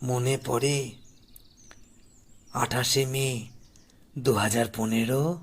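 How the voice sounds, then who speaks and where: A man playing a grave, grieving poor old grandfather in an audio horror story, speaking haltingly and accusingly. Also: mid-range voice, steady tone, voice pushed down. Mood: grave, cryptic. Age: older. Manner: slow, grave, halting delivery with pauses.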